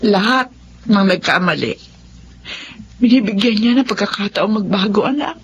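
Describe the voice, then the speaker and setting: A woman's voice: mid-range voice, normal speed, close to an everyday voice. A woman acting a supportive, caring older mother in a Tagalog radio drama. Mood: caring, advising. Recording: muffled audio with faint noise.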